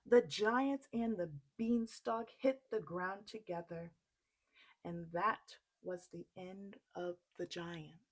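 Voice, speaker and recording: A woman reading a children's story aloud expressively, in an unhurried, deliberate way. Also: lowish voice.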